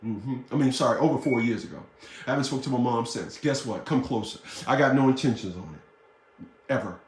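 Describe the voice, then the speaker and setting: A man's voice: mid-range voice, brisk, roomy sound, distant mic. A man in his fifties talking casually to the camera, blunt and boastful, swearing and repeating himself. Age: 50s. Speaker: vlogger.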